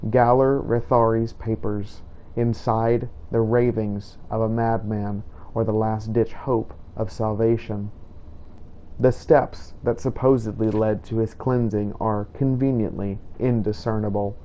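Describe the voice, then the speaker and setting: A man's voice: on the low side, mellow, a little hiss or hum, natural voice, low-quality recording. A man narrating a game roleplay as a grim, devout vampire hunter, in a solemn and formal storytelling manner. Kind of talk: scripted in-character story narration.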